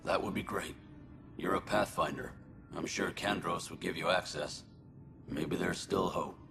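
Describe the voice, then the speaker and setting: Casting: a broken convict in a sci-fi game, low creaky voice, weary and subdued. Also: male voice, fairly even intonation, a little slow. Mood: faintly hopeful. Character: defeated male colonist convicted of murder, remorseful. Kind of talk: video game cutscene acting. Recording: loud music behind the voice.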